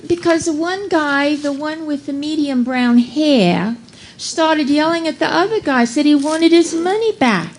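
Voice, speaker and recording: A woman's voice: high-pitched, a little slow. A woman playing a matter-of-fact, testy bus driver witness in a comic live radio play, plain-spoken and emphatic. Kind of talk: comic radio drama dialogue. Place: live stage performance aboard a cruise ship. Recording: noisy live room recording.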